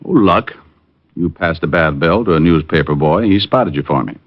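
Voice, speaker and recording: An American actor playing a cocky, wisecracking private detective in a 1950s radio drama, wry and hard-boiled. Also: male voice, deep voice, animated, moderate pace. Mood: wry, cool. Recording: muffled old radio recording, loud music behind.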